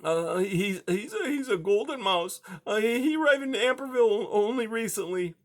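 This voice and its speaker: A timid little male storybook mouse, played by a woman, pitched well above normal speech. He stammers and whimpers haltingly, pleading in fright. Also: expressive, close to an everyday voice. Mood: frightened, halting. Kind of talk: children's storybook character voice.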